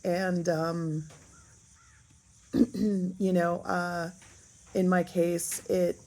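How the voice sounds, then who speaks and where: A woman talking casually in a diary-style vlog with a raspy, hoarse voice. She is unhurried and thoughtful, and often pauses to find her words. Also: lowish voice, flat delivery, warm tone, poor audio. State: raspy, hoarse voice after illness. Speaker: vlogger.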